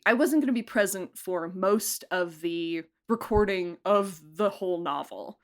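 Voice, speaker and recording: A woman's voice: mid-range voice, measured pace. A woman chatting casually and effusively as a podcast guest, talking as a producer and director and often breaking into laughter. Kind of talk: casual behind-the-scenes interview chat.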